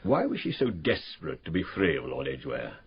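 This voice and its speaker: An actor playing a blunt, dogged, sardonic police inspector with brisk, matter-of-fact questioning in a radio drama. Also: male voice, a bass voice, wildly swooping pitch, exaggerated voice. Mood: probing. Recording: slightly muffled radio drama sound.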